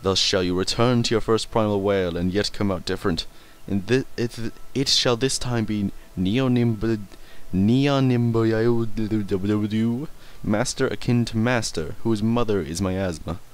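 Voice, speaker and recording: A man's voice: lowish voice, measured pace, few pauses. A man doing casual let's play commentary, rambling, swearing casually and stumbling over hard words as he reads. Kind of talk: casual gameplay commentary and reading in-game text aloud.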